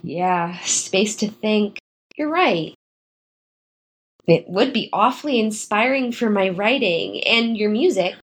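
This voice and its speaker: A woman's voice: medium pitch, animated, takes it slowly, breathy edge. A woman acting in a modern audio drama as a proud, guarded, wistful young writer, with a dry, hesitant, naturalistic delivery.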